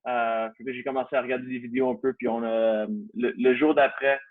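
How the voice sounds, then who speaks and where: A man's voice: medium pitch, flat delivery, brisk. A man in his thirties with a Canadian French accent, a guest in a casual interview, talking hesitantly with many restarts. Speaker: guest, CrossFit gym owner. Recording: tinny phone-like line.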